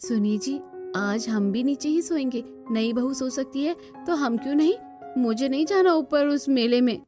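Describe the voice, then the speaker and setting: Cartoon daughter-in-law voiced by a woman: young, high, sharp-tongued, gossipy, music bed. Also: moderate pace, close to an everyday voice. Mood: defiant. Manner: complaining, gossipy.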